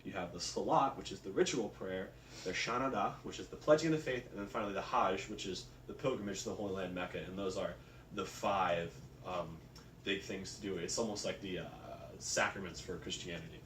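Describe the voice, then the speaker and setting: A man presents a research report informally, with loose, casual phrasing. His voice sits a bit low. He is recorded at a distance in an echoey room with some faint noise behind him. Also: brisk, fairly bright tone. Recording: roomy, distant mic, faint background noise.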